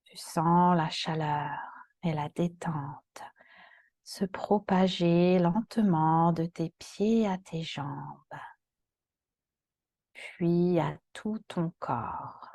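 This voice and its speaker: A woman yoga teacher guiding a relaxation meditation in a calm, soothing, soft voice that often turns breathy. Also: on the low side, no echo. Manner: calm, soothing, gentle instructions with long pauses.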